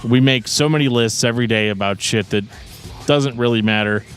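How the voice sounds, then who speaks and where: A man with a middling pitch co-hosts a casual podcast over music. He rambles through his explanations in a joking way. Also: brisk. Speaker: podcast co-host.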